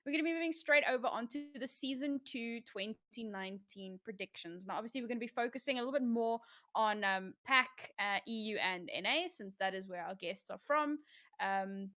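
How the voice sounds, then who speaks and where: A woman's voice: a little high, lively intonation, brisk, fairly bright tone. A woman hosting a casual esports podcast, talking conversationally with guests and laughing easily. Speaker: podcast host.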